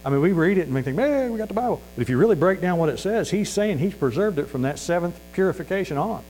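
A man's voice: high-pitched, animated, quick pace, darkish tone, rough recording. A man with a Missouri accent preaching a sermon in a folksy, earnest way, full of fillers and restarts. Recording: faint mains hum.

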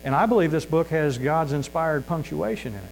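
A man's voice: a little high, animated, brisk, vocal fry, low-quality recording. A man with a Missouri accent preaching a sermon in a folksy, earnest way, full of fillers and restarts. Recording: faint mains hum.

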